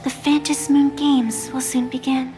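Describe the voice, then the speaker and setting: A high, feminine game voice for a mysterious supernatural being, acted by a woman. She is playful yet aloof, speaking in formal, theatrical phrases with a teasing edge. Long reverb surrounds her, and music plays underneath. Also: flat delivery, moderate pace, lightly acted. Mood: playful, curious. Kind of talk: video game character dialogue.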